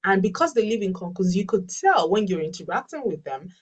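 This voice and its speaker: A woman's voice: mid-range voice, sing-song, brisk, slightly rough, recorded from a distance. A Yoruba-speaking woman, a life coach answering webinar questions earnestly and emphatically, often repeating her key phrases. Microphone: video call.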